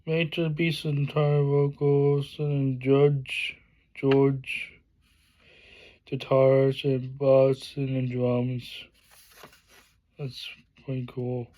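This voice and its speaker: Slow, flat-voiced man vlogging. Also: medium pitch, rough recording. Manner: halting, many fillers and restarts; plain enthusiastic praise in a flat delivery. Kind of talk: casual unboxing vlog.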